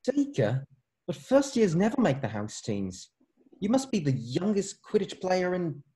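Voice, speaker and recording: A man's voice: high-pitched, big pitch swings, a little slow, dark tone. A man playing an eager, easily alarmed young schoolboy wizard in an amateur table read. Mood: amazed.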